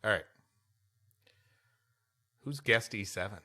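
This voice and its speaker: A man's voice: lowish voice, slow pace, sharp tone. A man co-hosting a comedy podcast, mixing casual chat with reading a story aloud and joking along dryly. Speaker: podcast co-host and story reader.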